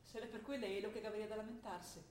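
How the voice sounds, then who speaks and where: A woman's voice: mid-range voice, fairly even intonation, darkish tone, natural voice. An actress playing a young idealistic revolutionary student who argues with her father. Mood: earnest. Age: young adult. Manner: earnest, quick, rising to fervent argument. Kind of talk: stage play acting. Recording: roomy stage recording with faint noise.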